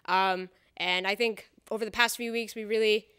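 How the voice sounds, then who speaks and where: Casting: young female, bright crisp voice, casual interview chat. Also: medium pitch, steady tone, moderate pace. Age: young adult. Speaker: student performer being interviewed. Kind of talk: casual post-show chat. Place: small studio, indoors. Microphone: handheld microphone.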